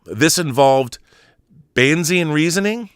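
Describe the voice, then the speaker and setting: A man's voice: fairly high pitch, animated, slow, clean tone, no echo, clean studio sound. A man hosting an online show, reading a long article aloud and adding brief asides. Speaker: YouTube host.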